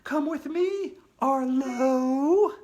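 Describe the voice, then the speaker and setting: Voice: high-pitched, very slow, darkish tone, pitched above the performer's own voice. A reader voicing the spooky, cackling ghost of a young girl in a storybook read-aloud, drawing out her taunting words.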